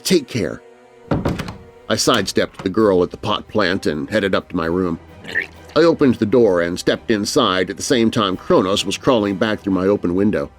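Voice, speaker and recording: A man narrating a scripted first-person scary story for children in an expressive, theatrical way. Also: low-pitched, normal speed, faint music behind.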